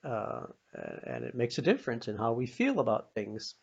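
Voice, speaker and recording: Gravelly-voiced older man telling stories. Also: medium pitch, very animated, normal speed. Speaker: storyteller. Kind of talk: informal spoken storytelling of personal anecdotes. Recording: dull, lo-fi sound.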